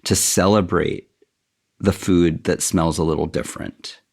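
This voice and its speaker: A man's voice: sits low, fairly even intonation, unhurried, gravelly, darkish tone. A middle-aged man speaking as an interview guest, thoughtful and candid with a self-deprecating touch. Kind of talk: reflective interview conversation.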